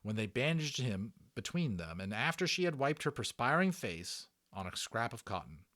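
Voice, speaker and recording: A man's voice: mid-range voice, sing-song, moderate pace, fairly bright tone. A man narrating a children's audiobook as a dramatic reading, with casual explanatory asides.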